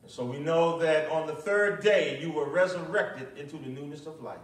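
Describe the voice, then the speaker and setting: Middle-aged man, pastor. Slightly high pitch, measured solemn delivery, roomy church echo. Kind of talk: sermon with prayer and commentary. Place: small, nearly empty church, at a pulpit indoors. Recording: echoey church, distant microphone.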